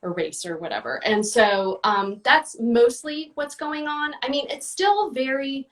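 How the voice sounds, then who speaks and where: A woman's voice: high voice, measured pace, fairly bright tone, distant mic. Woman guest, casual interview chat, lots of fillers and restarts, a bit off-mic. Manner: chatty, many fillers and restarts. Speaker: guest, book reviewer.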